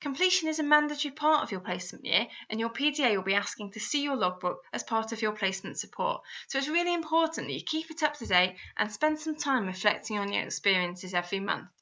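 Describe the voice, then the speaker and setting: A woman's voice: fairly high pitch, animated, fast talker, hardly any pauses, sharp tone, dull audio. A woman reads a scripted instructional voice-over as a placement adviser, explaining step by step and putting guiding questions to the listener. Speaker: university placement adviser narrating a guidance video.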